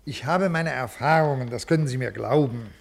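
A man's voice: mid-range voice, brisk, vocal fry. An actor playing a rigid, ailing male bureaucrat in a 1950s radio play, officious, formal and brusque. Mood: lecturing, dismissive.

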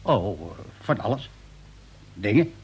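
Raspy, pompous eccentric inventor. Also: male voice, fairly low pitch, very animated, slow, a little mellow, heavily acted. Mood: self-important. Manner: pompous, explanatory. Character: eccentric self-important male inventor of trivial things. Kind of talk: radio play acting.

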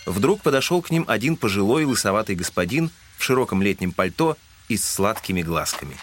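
Low-voiced male narrator. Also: sing-song, fairly quick, slight background noise. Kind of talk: scripted literary narration.

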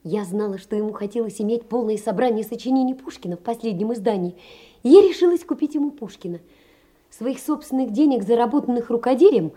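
An actress playing a tender, wistful young woman who narrates her memories in an audio drama, with dramatic pauses. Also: fairly high pitch, warm tone. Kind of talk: first-person dramatic narration.

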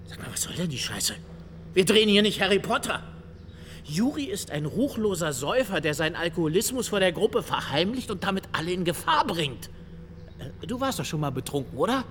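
A man's voice: high-pitched, very animated, brisk. An actor playing a bossy, short-tempered male film director in a radio drama, talking in a domineering, theatrical way. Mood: scornful, testing. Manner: domineering, theatrical, switches from muttering to shouting. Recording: long hall reverb, faint noise.

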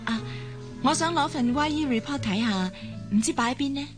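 A woman plays a young surgeon in a Cantonese radio drama, her voice fairly high. She comes across as friendly, curious and determined, with faint music behind her. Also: a little fast, natural voice. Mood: polite. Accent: Hong Kong Cantonese.

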